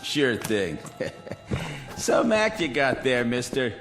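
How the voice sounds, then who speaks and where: An actor playing a dry, mocking nightclub manager in a 1960s TV drama. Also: male voice, mid-range voice, big pitch swings, extremely slow, music in the background. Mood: dismissive.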